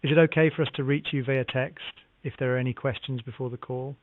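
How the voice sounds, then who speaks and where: A man's voice: mid-range voice, steady tone, quick pace, no echo. A man working as a real estate phone agent, speaking politely in a scripted sales-call style with formulaic acknowledgements. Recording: narrow, tinny phone line.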